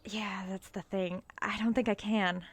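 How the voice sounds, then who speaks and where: A woman's voice: medium pitch, steady tone, unhurried. A young woman playing an earnest, prim college girl in an audio drama, awkward and easily exasperated. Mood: reluctant, awkward. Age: young adult.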